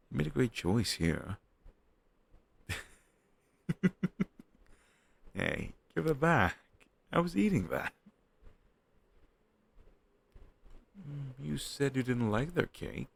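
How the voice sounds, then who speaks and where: A man acting as a playful, teasing young best friend in a casual, expressive roleplay chatting directly to the listener. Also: medium pitch, creaky, husky, exaggerated voice. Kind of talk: roleplay audio drama, intimate casual chat with listener.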